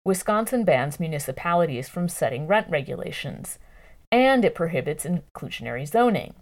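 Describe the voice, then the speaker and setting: A woman narrating a long-form news article from a script in a measured, clearly phrased way. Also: low-pitched, very animated.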